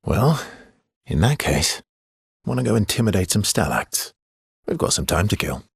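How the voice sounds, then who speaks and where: An actor playing a wry, loyal, world-weary swordsman in a video game, with a husky, breathy voice and a dry, teasing manner. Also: fairly low pitch, sing-song, normal speed, no room sound, full character voice. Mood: playful banter.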